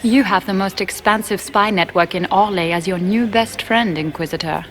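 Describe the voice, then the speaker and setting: An actress playing a shrewd, composed elven rebel spymaster in a fantasy game, speaking in a measured, assured way. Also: female voice, medium pitch, natural voice. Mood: earnest, assured. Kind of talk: dramatic fantasy game acting.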